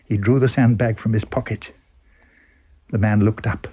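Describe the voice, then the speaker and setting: A man's voice: lowish voice, fairly even intonation, normal speed, breathy edge, phone-quality sound. A man with a British accent reading a story aloud as dramatic scripted narration. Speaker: story reader.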